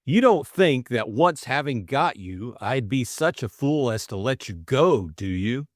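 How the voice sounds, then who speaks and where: A man's voice: medium pitch, expressive, unhurried, no echo, clean studio sound, pitched above the performer's own voice, lightly acted. A man voicing a flustered, lovestruck young Englishman, stammering and eager. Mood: playfully firm.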